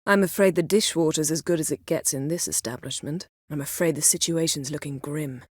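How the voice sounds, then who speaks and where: Proud sorceress for a fantasy game, lowish voice, dry sarcasm, bossy, super dry close recording. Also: female voice, a little fast, no echo. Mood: brisk, insistent. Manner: crisp, imperious, dryly sardonic. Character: proud, sharp-tongued sorceress, commanding, dry and guarded. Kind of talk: fantasy video game voice acting.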